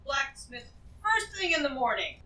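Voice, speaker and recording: A woman's voice: high-pitched, very animated, moderate pace, pushed and bright. A woman playing a tabletop role-playing game, improvising casual dialogue. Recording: roomy, slightly distant mic.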